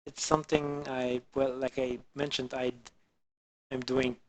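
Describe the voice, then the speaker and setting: Man from Belgium, slow talker, halting, lots of ums, headset mic, casual stream chat. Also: mid-range voice, little pitch movement, no room sound. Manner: halting, many fillers, answers chat viewers. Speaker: live streamer doing 3D modelling. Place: home in the Alpine region.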